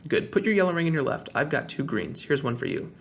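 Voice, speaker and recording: A man's voice: on the high side, sing-song, fast, gravelly. Storybook young boy, eager and adventurous, slightly raised voice, read by a man. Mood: explaining.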